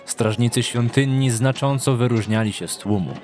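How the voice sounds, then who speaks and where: A man's voice: medium pitch, moderate pace, darkish tone, faint music behind. A man narrating a scripted dramatic story for an audio drama.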